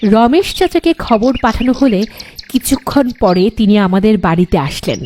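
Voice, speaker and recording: A woman's voice: medium pitch, lively intonation, normal speed, darkish tone, a little hiss or hum, natural voice. A woman voicing a frightened young daughter who narrates a scripted horror cartoon with dramatic delivery. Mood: uneasy, suspenseful.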